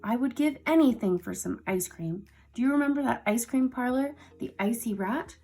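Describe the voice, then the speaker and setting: A woman voicing a boastful, excitable male mouse cousin who squeaks and teases. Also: normal speed, slightly rough, soft background music. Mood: whining. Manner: squeaky, teasing.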